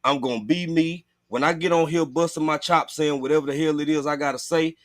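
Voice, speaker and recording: A man's voice: a little high, fairly even intonation, a little fast, no echo, studio quality. A man chatting casually on a livestream in a blunt, profane, informal way. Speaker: livestream host.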